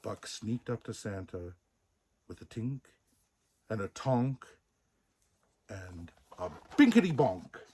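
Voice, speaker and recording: A man reads a children's storybook with playful theatricality. His pitch swings in a lively way, and he takes his time, savouring the sound words. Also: slow pace, pauses often, husky. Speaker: storybook reader in a Santa persona. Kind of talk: expressive scripted children's story narration.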